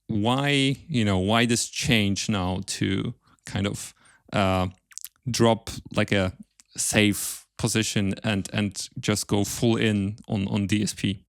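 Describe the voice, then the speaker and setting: A man hosting a casual podcast interview, speaking in a halting way and repeating words as he forms his thoughts. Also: fairly low pitch, fairly even intonation, creaky, dry recording, studio quality. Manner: hesitant, halting, repeats words while forming questions.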